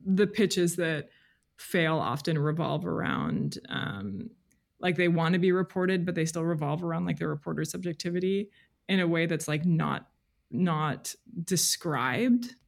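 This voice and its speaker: A woman's voice: low voice, animated, a little slow, vocal fry. A woman speaking as an interview guest in casual conversation, thoughtful and hesitant as she works toward her point. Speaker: podcast guest, freelance journalist.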